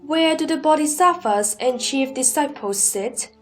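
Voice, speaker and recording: Woman playing an earnest male disciple, high voice, plain replies, audio drama. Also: animated, measured pace. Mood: wondering.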